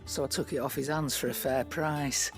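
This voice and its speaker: Creaky Northern English trader. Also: female voice, a bass voice, steady tone, moderate pace, faint music behind. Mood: matter-of-fact. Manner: blunt, wry, plain-spoken. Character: dry, no-nonsense female market trader in fantasy. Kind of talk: video game voice acting.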